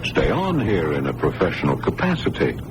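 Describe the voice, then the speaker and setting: An actor playing a patient, kindly hospital doctor who coaxes and reasons gently, often repeating his words, in old-time radio drama style. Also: rumbling low, huge pitch swings, brisk, heavily acted. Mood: coaxing, reassuring. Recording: noisy vintage radio broadcast recording.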